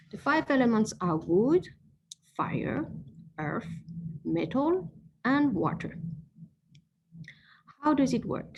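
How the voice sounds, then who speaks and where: A woman's voice: mid-range voice, lo-fi sound, a little hiss or hum. Earnest woman explaining with many self-corrections. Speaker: acupuncturist giving an online presentation. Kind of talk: informal lecture with slides.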